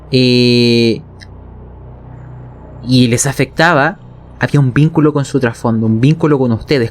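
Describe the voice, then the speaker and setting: A man's voice: mid-range voice, a little hiss or hum. A man with a Chilean accent explaining narration technique as a game master, colloquially, often checking in with his listeners. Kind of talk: explanatory conversation on tabletop role-playing narration.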